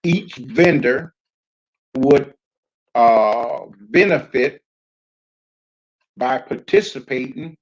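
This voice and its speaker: Older male community speaker, mid-pitched, unhurried and hesitant, recalling past days in an unscripted address. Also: poor audio. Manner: halting and deliberate, with frequent long hesitations. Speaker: community speaker recounting his experience as a pioneer. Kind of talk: public address, reminiscing unscripted.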